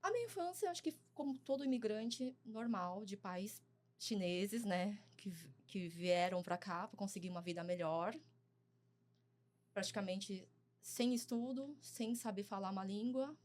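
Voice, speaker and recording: Soft-spoken hesitant woman in her forties. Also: medium pitch. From Brazil. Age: 40s. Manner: soft-spoken and hesitant, with many fillers and pauses. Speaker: guest. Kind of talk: casual interview conversation.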